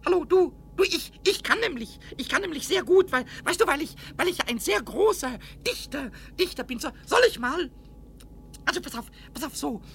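A man playing a cheeky, excitable little kobold in a children's radio play, squeaky and creaky, stammering over repeated words and fond of rhyme. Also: moderate pace, heavily acted. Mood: excited, boastful. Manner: stammering repetitions, rhyming, excitable. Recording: faintly noisy 1970s radio recording, rumble.

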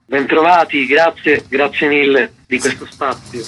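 A man's voice: medium pitch, steady tone, a little slow, a bit bright, breathy edge, low-quality recording. A man, a festival director, giving explanations as a guest in a radio interview.

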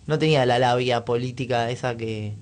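Argentine guy hosting radio, casual political chat, ordinary mid pitch, conversational. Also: fairly even intonation, moderate pace, slight background noise. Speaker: radio host.

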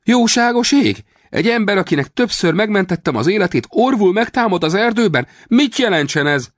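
Brief: a man playing a shrill, fawning storybook henchman, gushing and theatrical, close and dry. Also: sing-song, fairly bright tone, dry recording. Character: fawning, feigning male henchman.

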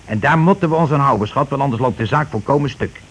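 An actor playing a scheming, money-grabbing husband and landlord in a radio play, talking in wheedling, self-justifying colloquial patter. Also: male voice, medium pitch, quick pace, close to an everyday voice. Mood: smug, self-justifying. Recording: noisy old radio drama recording.

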